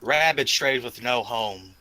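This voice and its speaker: Defiant half-orc hero for tabletop play, male player, formal and challenging, very sharp tone, close dry sound. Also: mid-range voice, slow pace, dry recording, poor audio. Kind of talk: tabletop roleplay dialogue.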